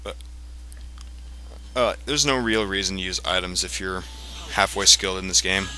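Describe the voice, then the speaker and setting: A man giving casual, explanatory gameplay commentary in a halting way, as the host of a let's play. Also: low voice, pushed and bright, poor audio. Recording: game music behind the voice. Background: video game music.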